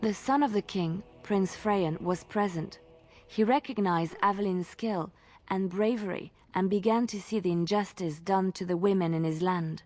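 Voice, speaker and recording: An actress playing a gentle, earnest bard in a fantasy video game, telling tales in a measured, lyrical way. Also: female voice, mid-range voice, faint music behind, lightly acted, low-quality recording. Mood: earnest storytelling. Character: Orlesian bard woman, storyteller, gentle and earnest.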